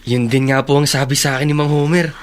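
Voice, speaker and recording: An actor playing a humble, eager young gardener in a Tagalog radio drama, polite and a bit anxious. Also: male voice, mid-range voice, level tone, quick pace, a little hiss or hum, natural voice. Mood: humble, earnest.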